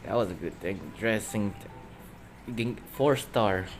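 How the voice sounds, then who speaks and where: A man giving casual gameplay commentary in loose, halting, broken phrases. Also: lowish voice. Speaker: gameplay video host. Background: loud game music.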